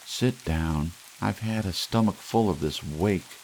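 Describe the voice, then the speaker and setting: A man voicing a sharp-tongued, exasperated wife with a biting, sarcastic manner in a short story reading. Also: low-pitched, a little slow, pitched above the performer's own voice. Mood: weary, curt. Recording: steady hiss, rain sound underneath.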